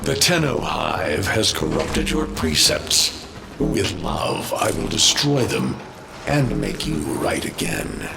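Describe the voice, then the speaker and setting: An actor playing an ancient, vengeful war machine in a video game, speaking in a gravelly, grandiose, menacing way. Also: male voice, wildly swooping pitch, background noise, heavily acted. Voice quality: deep, gravelly. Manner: slow, grandiose, menacing delivery. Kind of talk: dramatic video game villain acting.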